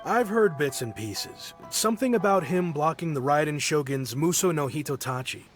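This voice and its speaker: A man's voice: on the high side, normal speed, lightly acted. A man playing a laid-back, laughing young sailor. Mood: friendly. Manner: friendly, laughing, relaxed. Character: easygoing young male sailor of a fleet. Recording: game audio with music behind. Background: game music.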